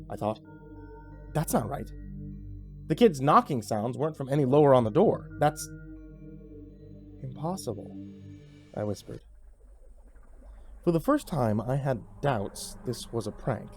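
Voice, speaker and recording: Animated man narrating a horror story. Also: fairly high pitch. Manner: theatrical, expressive. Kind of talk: dramatic first-person scripted narration, acting out dialogue.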